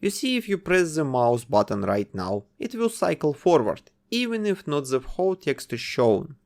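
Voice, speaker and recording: Man with a lively voice narrating a coding tutorial. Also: fairly high pitch, normal speed. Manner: explains code step by step while testing it. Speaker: game development tutor. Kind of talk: screen-recorded coding tutorial.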